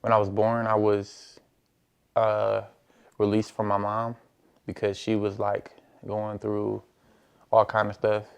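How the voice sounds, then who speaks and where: A man's voice: fairly low pitch, completely flat delivery, takes it slowly, creaky, pauses often, clear, resonant tone. A man of twenty telling his own story in a casual interview. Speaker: youth who aged out of foster care.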